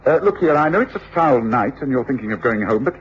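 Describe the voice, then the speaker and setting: An actor playing a shrewd, commanding gentleman detective with an English accent, precise and authoritative, in old radio drama. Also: mid-range voice, expressive, quick pace, breathy edge. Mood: brisk, commanding. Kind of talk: 1950s-60s radio drama acting. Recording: dull, noisy old radio drama recording.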